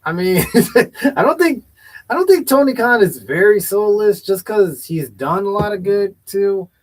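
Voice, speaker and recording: A man's voice: high-pitched, lively intonation, moderate pace. A man hosting a casual wrestling chat show, giving blunt, opinionated takes and often hedging with "I feel like". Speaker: podcast host.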